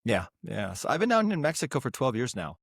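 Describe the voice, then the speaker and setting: A man telling stories as an interview guest, relaxed and easygoing, with plenty of ums and restarts. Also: mid-range voice, a little fast, gravelly, no echo, clean studio sound. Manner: relaxed, uses many fillers. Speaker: guest, business partner. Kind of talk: interview storytelling.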